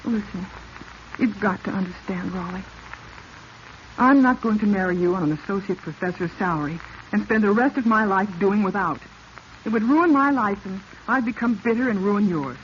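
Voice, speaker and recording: A woman's voice: mid-range voice, moderate pace, lightly acted. Casting: female actor as frank, dissatisfied young woman, 1940s radio style, dulled hissy sound. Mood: firm, frank. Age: young adult. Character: discontented, outspoken young daughter of a professor. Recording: muffled, hissy vintage radio recording.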